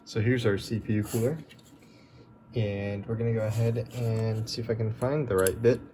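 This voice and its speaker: A man hosting a relaxed, chatty repair walkthrough, his voice going a bit hoarse as he works. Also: lowish voice, normal speed, background noise. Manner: relaxed, chatty, with many fillers. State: losing his voice. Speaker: tech repair video host.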